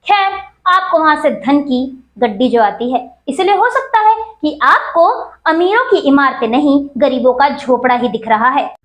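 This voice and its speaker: A woman's voice: high-pitched, lively intonation, moderate pace, hardly any pauses, fairly bright tone. A woman delivering scripted news commentary as a reporter, in an emphatic, accusatory, rhetorical manner. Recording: roomy echo on the voice.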